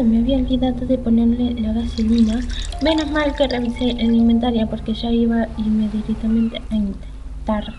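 A woman's voice: a little high, mellow, a little hiss or hum, low-quality recording. A woman doing casual let's play commentary on a video game, hesitant and half talking to herself. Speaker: gaming channel host playing a video game. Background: game music.

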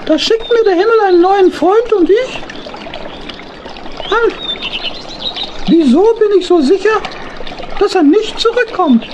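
Tiny, very high-pitched hedgehog fellow. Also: female voice, lively intonation, unhurried, long pauses, background noise. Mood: pensive. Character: small male hedgehog, naive, trusting, earnest wanderer. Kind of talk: German children's audio drama acting.

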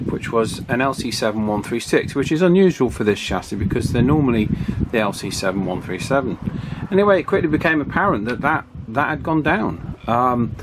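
A man giving a casual hands-on repair demonstration, thinking aloud with some hesitation. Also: mid-range voice. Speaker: electronics repair hobbyist presenter. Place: small indoor office workshop. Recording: clear background noise, wind from fan. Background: table fan wind noise.

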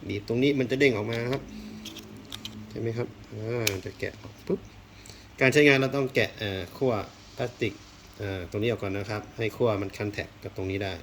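A man with a mid-pitched voice talks casually through a product unboxing. He thinks aloud in an exploratory, polite way. There is some faint background noise with handling rustle and clicks. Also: brisk, a bit bright. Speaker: unboxing video host.

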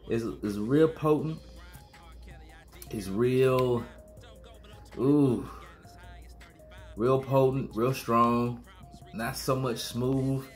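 A man in his thirties reviewing a beer to camera at home, talking casually in slang and repeating himself. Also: medium pitch. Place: small room at home, indoors.

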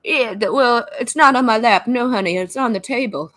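A forgetful eighty-nine-year-old grandmother, as a woman puts her on, rambling sweetly and mishearing every question. Her voice sits a little high. She sounds in no hurry at all. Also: moderate pace, fairly bright tone, natural voice. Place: small home room, indoors.

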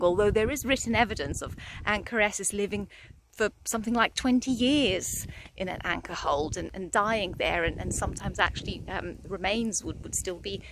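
A woman's voice: medium pitch, noisy background. Chatty woman vlogging outdoors by phone. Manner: chatty and hesitant, with many restarts and fillers. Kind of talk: casual on-location vlog. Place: outdoors in a country churchyard. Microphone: phone held at arm's length.

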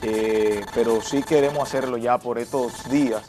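A man co-hosting a studio talk show, a bit hoarse and jokey, stumbling over words. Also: mid-range voice, fairly even intonation, normal speed, rough recording. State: slightly hoarse. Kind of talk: casual studio chat. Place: TV studio set. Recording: TV broadcast with background music.

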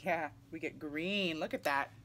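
A young adult woman reading a children's picture book aloud in an animated way, putting questions to young listeners. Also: low voice, measured pace, a little hiss or hum. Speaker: read-aloud storyteller for children. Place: small indoor room, seated in armchair.

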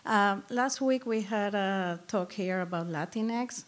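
A woman's voice: mid-range voice, slow. A woman in the audience asking a hesitant, polite question at a lecture. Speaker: audience member.

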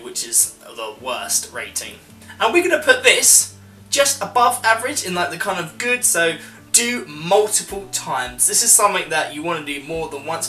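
A man's voice: fairly high pitch, pushed and bright. A man giving a chatty, conversational voice-over review as a vlogger, working through his points informally. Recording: roomy echo, music underneath.

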